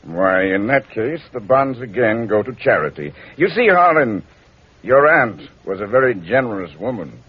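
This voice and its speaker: An actor playing a flustered, fussy old attorney, hesitant and dithering, with a husky voice in a 1940s radio drama. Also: male voice, mid-range voice, big pitch swings, moderate pace. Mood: formal. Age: older. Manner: dithering, hesitant, pleased with himself. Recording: muffled vintage radio recording.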